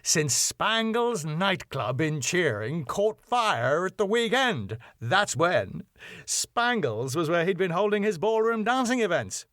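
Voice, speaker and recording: A man playing an excitable, flamboyant married ballroom club owner in a sitcom script reading. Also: high-pitched, dry recording, studio quality, exaggerated voice. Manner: flamboyant, exclamatory.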